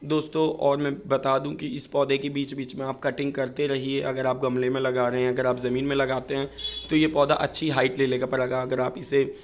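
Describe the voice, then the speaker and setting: A man with a hoarse, sore-throated voice, explaining things informally and a little haltingly as a gardening video presenter. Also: mid-range voice, level tone. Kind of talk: informal explanatory talk to viewers.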